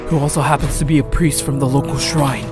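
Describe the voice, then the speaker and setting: A man playing a stern, grave old village grandfather in a horror audio drama, speaking solemnly and deliberately. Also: medium pitch, fairly even intonation, over music, natural voice.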